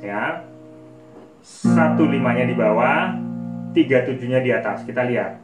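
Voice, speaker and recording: A man's voice: mid-range voice, expressive, unhurried. Man teaching casually, roomy distant sound. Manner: informal, demonstrative, often counting out notes. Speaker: piano teacher. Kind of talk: casual tutorial talk. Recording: roomy, distant mic, loud piano music.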